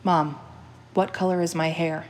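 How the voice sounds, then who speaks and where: A woman's voice: on the low side, steady tone, a little slow, darkish tone, lightly acted. Woman doing a frazzled thirtysomething woman. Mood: pleading.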